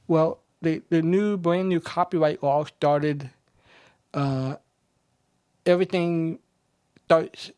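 A man's voice: on the high side, steady tone, slow pace, pauses often, darkish tone, clean tone, no room sound, studio quality. A man explaining things casually in a group discussion, offering his opinions in a tentative, musing way. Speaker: participant in an old-time radio discussion group.

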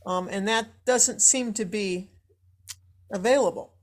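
A woman's voice: medium pitch, slow. A woman chatting casually in a group, searching a little for her words.

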